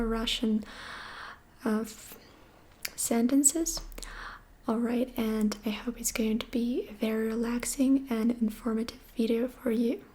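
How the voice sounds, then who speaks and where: A woman giving a whispered ASMR language lesson, softly repeating each phrase slowly and close to the microphone. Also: on the high side. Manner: soft whisper, slow repetitions. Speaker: language teacher.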